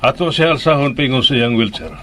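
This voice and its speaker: A man's voice: mid-range voice, animated, fast, bright, forceful tone, a little hiss or hum. An actor playing a patient, firm father who reasons earnestly in a radio drama. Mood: calm, directing. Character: patient, firm father counselling his son. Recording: music behind.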